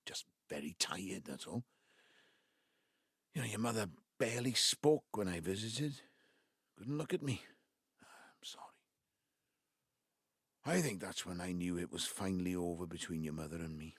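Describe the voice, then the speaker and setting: An actor playing an ageing Welsh patriarch in an audio drama, wounded, tender and defensive, with a halting, emotive delivery. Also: male voice, low voice, expressive, slightly rough, dry recording, clean studio sound, full character voice. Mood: weary, grieving. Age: 70s. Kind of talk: naturalistic audio drama acting.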